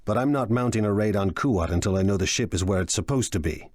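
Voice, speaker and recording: An actor playing a smug, scheming crime lord in a video game, wry, theatrical and sure of himself. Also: male voice, on the low side, fast talker, a little mellow, no room sound, studio quality, lightly acted. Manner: wry, self-assured, theatrical.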